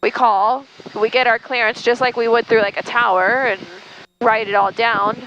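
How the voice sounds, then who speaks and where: A woman's voice: a little high, fairly even intonation, moderate pace, highs cut off. Woman instructing over cockpit noise. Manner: encouraging, explanatory. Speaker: flight instructor. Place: small airplane cockpit in flight. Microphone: aviation headset. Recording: noisy cockpit headset audio. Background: engine and wind noise.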